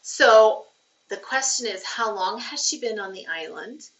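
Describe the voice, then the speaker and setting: A woman's voice: mid-range voice, lively intonation, a little slow, a bit bright, distant mic. A woman reading a children's novel aloud like a teacher, with some informal commentary. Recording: slightly dull sound, off the microphone.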